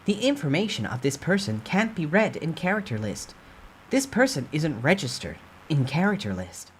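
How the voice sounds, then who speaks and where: Man doing a high, formal system announcer. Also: big pitch swings, normal speed, slight background noise. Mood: impersonal. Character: formal, impersonal game-system announcer voice in the air.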